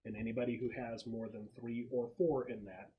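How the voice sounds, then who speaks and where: A man's voice: mid-range voice, steady tone, a little fast, narrow, tinny audio, slight background noise. Man explaining as a game master. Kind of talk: narrating a casual tabletop role-playing game.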